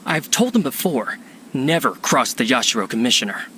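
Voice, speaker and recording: A man's voice: medium pitch, sing-song, normal speed, pushed and bright, a little hiss or hum. A man playing a beleaguered, exasperated servant in an anime-style video game. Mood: worried, resigned. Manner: exasperated, complaining. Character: beleaguered, unsure male servant of an irate master.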